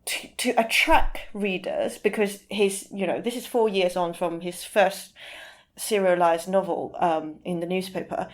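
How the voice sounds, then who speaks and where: A woman speaking as an interview guest, explaining things informally and sometimes pausing to search for the right word. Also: lowish voice.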